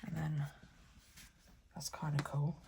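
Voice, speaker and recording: A woman's voice: low-pitched, level tone, takes it slowly, vocal fry, noisy background. A woman hosting a craft vlog, chatting and thinking aloud as she works, a bit stuffy with a cold. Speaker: craft channel host. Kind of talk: casual crafting vlog talk.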